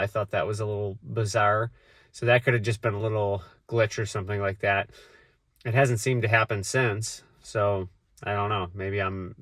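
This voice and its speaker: Male vlogger with a cold: low, dry, meandering casual talk full of fillers. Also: a bit bright, no room sound. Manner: rambling, with many fillers and restarts. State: has a cold, voice deeper than usual.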